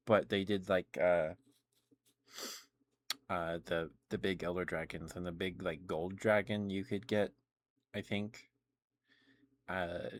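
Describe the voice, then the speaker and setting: A man livestreaming casual ASMR chat about games, talking in a rambling, halting way. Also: deep voice.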